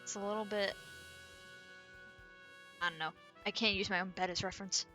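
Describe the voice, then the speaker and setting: A woman's voice: medium pitch, fairly even intonation, moderate pace, sharp tone. A woman streamer chatting casually and thinking aloud while she draws, rambling and muttering to herself. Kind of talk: casual chat while drawing. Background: faint background music.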